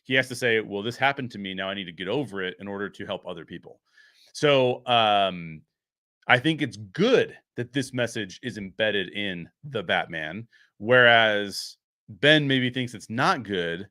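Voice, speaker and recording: A man's voice: fairly low pitch, lively intonation, measured pace, sharp tone, no echo, clean studio sound. A man giving unscripted film commentary as a show host, explaining his points emphatically.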